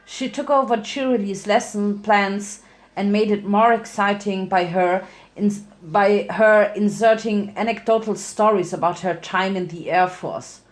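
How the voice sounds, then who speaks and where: A woman's voice: mid-range voice, steady tone, a little slow. A woman narrating fan fiction as a dramatic reading, occasionally stumbling and correcting herself.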